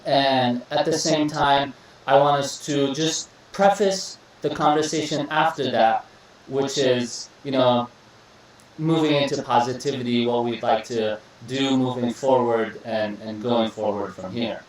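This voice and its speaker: A young man from Iraq hosting a podcast interview, relaxed and chatty, often repeating words and calling his guest "bro.". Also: medium pitch, unhurried, distant mic. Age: young adult. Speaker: podcast host. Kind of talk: casual interview chat. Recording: echoey room, voice a bit off-mic.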